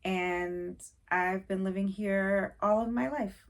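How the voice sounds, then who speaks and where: A woman's voice: mid-range voice, fairly even intonation, slow. A young woman from Haiti, chatting casually to camera in her first vlog, a little nervous and full of fillers. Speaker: vlogger.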